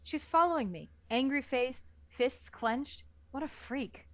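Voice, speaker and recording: A woman's voice: high voice, lively intonation, slow pace, fairly bright tone, dry recording. A woman reading scripted first-person story narration as the narrator of an amateur book reading.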